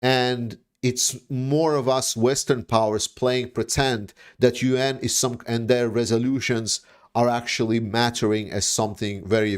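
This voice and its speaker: Halting, blunt man hosting a livestream. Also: mid-range voice. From Denmark. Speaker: livestream host. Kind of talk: casual livestream commentary answering viewer questions.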